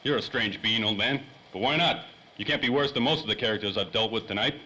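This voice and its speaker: A man's voice: fairly low pitch, fast, noisy background, lightly acted. A man playing a tough, wisecracking private detective with brash, slangy delivery in 1930s radio play style. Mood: brash, impatient.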